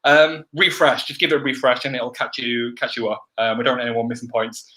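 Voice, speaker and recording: A man's voice: mid-range voice, level tone, brisk, sharp tone. A man hosting an online quiz, giving chatty instructions full of ums, his voice a little crackly and throaty. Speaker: quiz host. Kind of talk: live-stream housekeeping announcements.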